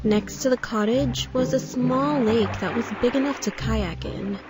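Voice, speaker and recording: Woman narrating horror over clear music. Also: on the high side. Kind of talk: scripted first-person horror story narration. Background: background music.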